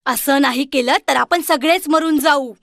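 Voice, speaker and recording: Woman playing a cold stepmother, high voice, firm, calculating, storybook tale, dry studio sound. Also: normal speed, a bit bright, dry recording. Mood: cold, insistent. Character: cold, scheming stepmother, adult woman, insistent. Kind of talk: children's fairy-tale character voice.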